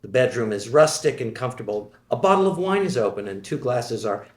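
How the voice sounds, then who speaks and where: A man reading the stage directions of a screenplay at a table read, in a plain, steady way. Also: medium pitch, fairly quick, echoey room.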